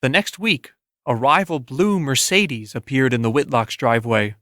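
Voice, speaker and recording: A man's voice: medium pitch, moderate pace, a bit bright, no echo. Male narrator for literary first-person audiobook fiction, recorded dry and close.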